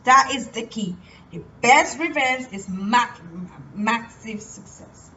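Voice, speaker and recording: A young woman from Cameroon speaks slowly and haltingly, often restarting her sentences. Her voice sits fairly high. She sounds set back from the mic on a dull recording with a light hiss behind her. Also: sharp tone. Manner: hesitant, halting, many restarts. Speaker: vlogger. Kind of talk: personal motivational talk. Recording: distant, muffled, light noise.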